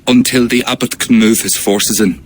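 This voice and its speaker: A man's voice: medium pitch, fairly even intonation, normal speed, slight background noise, natural voice. An actor playing a nervous, cornered medieval Irish petty king in a video game. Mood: fearful confession. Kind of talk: cinematic video game acting.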